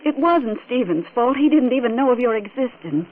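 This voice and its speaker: An actress playing an anxious, devoted wife in an old radio melodrama, earnest and pleading in a theatrical way. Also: female voice, fairly high pitch, animated, brisk. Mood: pleading, distressed. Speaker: radio drama actor. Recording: tinny, noisy vintage radio recording.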